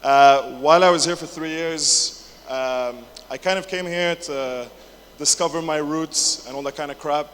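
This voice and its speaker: A man's voice: on the high side, unhurried, fairly bright tone. A man in his twenties giving a casual, jokey conference talk, full of fillers, restarts and swearing. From England. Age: 20s. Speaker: conference speaker. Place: large conference hall, indoors. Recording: echoey hall with faint noise.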